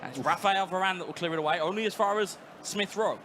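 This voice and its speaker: A man calling live esports football play by play, rapid and rising to shouts at big moments. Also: sits high, background noise. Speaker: play-by-play commentator.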